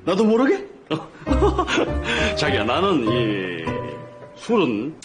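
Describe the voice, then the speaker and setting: A man's voice: a little high, wildly swooping pitch, very slow, music in the background, exaggerated voice. An actor playing a cocky older man who teases the younger man and boasts about his drinking. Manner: teasing, boastful, casual. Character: cocky older brother-in-law figure bragging about drinking.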